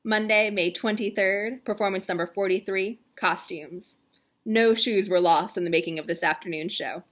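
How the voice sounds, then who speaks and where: An ominous, solemn storyteller acted by a young woman delivers a mock-dramatic reading. The delivery is deliberate and grave, as if telling a suspense tale in an online video. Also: medium pitch, fairly bright tone, close to an everyday voice.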